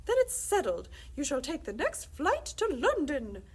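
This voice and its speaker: Woman acting a storybook queen, high voice, pompous, imperious, formal, commanding. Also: wildly swooping pitch, unhurried, full character voice. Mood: curt, commanding. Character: haughty, imperious Queen of England on the telephone.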